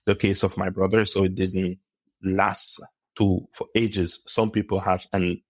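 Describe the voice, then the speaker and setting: A middle-aged man from Cameroon, a podcast guest speaking in a thoughtful, measured way. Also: sits low, level tone, dry recording. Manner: thoughtful, measured, with pauses and restarts. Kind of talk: conversational interview.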